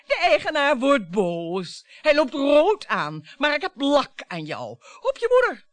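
An actress playing an embittered, taunting wife in a radio play, with a theatrical, mocking and volatile delivery. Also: female voice, high-pitched, huge pitch swings, slow, no echo, exaggerated voice. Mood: mocking, agitated. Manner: dramatic, mocking, volatile monologues.

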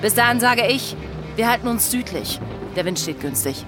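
Actress as a commanding pirate woman, lively pitch. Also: moderate pace, over loud music, close to an everyday voice. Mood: firm, dismissive. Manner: commanding, sardonic. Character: commanding, impatient young female pirate, sharp-tongued and wary. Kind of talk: German radio drama acting.

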